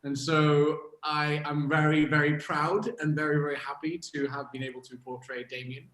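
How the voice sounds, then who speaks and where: A man's voice: a little high, level tone, normal speed, a bit bright, echoey room, low-quality recording. A man from Iceland, an actor, chatting enthusiastically on a video call, laughing and repeating words. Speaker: actor guest. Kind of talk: casual chat on a video call.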